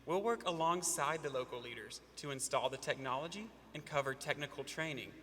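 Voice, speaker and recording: A man from Arkansas delivers his part of a team presentation with confident emphasis. His voice is bright and sits a little high for a man. A long hall reverb surrounds him. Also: brisk, a little hiss or hum. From Arkansas, USA. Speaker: student presenter. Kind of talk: rehearsed competition presentation.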